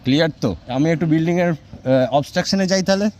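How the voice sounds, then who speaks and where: A man's voice: on the high side, rapid-fire, darkish tone, slight background noise. A man with a Bengali Indian accent, a native Bengali speaker, giving an informal hands-on product demonstration as a vlogger. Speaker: vlogger presenting a product test.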